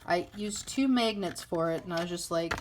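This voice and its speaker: A woman's voice: on the low side, normal speed. A woman hosting a casual hands-on craft tutorial, thinking aloud in an informal way as she works at a table indoors. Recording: clearly noisy home recording with clicks.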